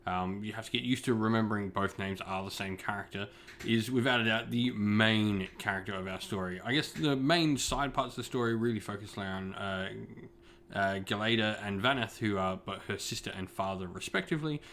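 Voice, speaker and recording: A man's voice: on the low side, brisk, fairly bright tone, slight background noise. A man hosting a hobby gaming channel, giving a spoken review in loosely phrased, explanatory talk.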